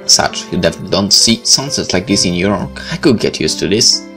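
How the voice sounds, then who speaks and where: A man reading game text aloud and reacting in an expressive, blunt way. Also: sits low, a little fast. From Poland. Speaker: let's play host. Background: game music.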